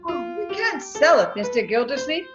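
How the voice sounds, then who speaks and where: A woman plays a patient, wry wife keeping the peace in an old radio comedy. Her voice is high and lively, soothing and affectionate, heard through a dull, echoey recording. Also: normal speed, music in the background.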